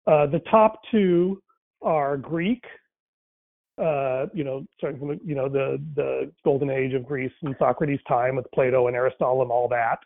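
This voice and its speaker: A man's voice: medium pitch, fairly even intonation, normal speed. A man whose first language is Tamil talks casually about faith and language, thinking aloud with many fillers and restarts. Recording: tinny phone-like line, dry.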